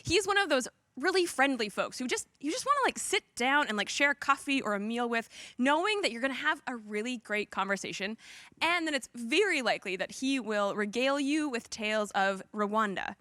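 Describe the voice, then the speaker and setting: A woman co-hosting a live storytelling event, bubbly and quick to laugh at her own puns. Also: a little high, lively intonation, bright, forceful tone, dry recording. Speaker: event co-host.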